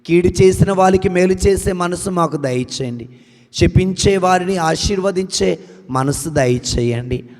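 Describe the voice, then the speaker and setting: A man's voice: high voice, a little slow, a little mellow. Man leading a long chanted prayer. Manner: chanting long lists in rising and falling cadence. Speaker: prayer leader. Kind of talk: intercessory prayer. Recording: long hall reverb.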